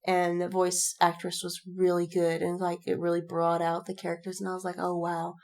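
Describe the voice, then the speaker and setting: A woman's voice: on the low side, completely flat delivery, brisk, darkish tone. A woman co-hosting a podcast in casual chat, animatedly retelling things and quoting her own reactions. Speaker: podcast co-host. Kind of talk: casual chat about films and fandom.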